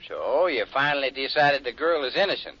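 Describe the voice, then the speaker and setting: A man's voice: mid-range voice, big pitch swings, fairly quick, pushed and bright, slightly rough, no echo, exaggerated voice. A folksy American country sheriff, easygoing and mild, his medium voice moving up and down freely. The sound is a muffled fifties radio play. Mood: mild, curious. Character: folksy, unhurried small-town male sheriff. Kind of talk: 1950s radio drama acting. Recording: muffled, dry vintage radio recording.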